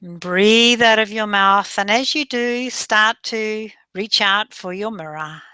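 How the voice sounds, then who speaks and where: A woman guides a meditation at a very unhurried pace, her tone bright and clear. She draws out her phrases, soothing and gentle, slipping now and then into soft breath. The sound is close and dry, with no room around it. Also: medium pitch, dry recording.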